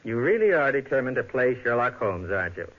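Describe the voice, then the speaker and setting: An actor playing an irritable, defensive murder suspect in a 1950s radio drama, curt and indignant. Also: male voice, medium pitch, very animated, fairly quick. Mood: defensive, scornful. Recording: dull, muffled old radio broadcast.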